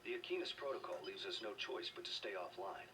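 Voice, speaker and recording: A man's voice: sits low, lively intonation, fairly quick. A man playing a worried, protective middle-aged scientist and leader in a video game, grave and earnest. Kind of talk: dramatic video game voice acting. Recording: noisy, distant-sounding game capture.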